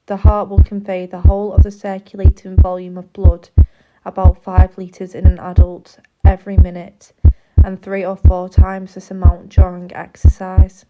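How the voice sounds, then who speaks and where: Woman narrating, dull lo-fi recording. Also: medium pitch. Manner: measured, formal, explanatory. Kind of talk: scripted educational narration, public information film.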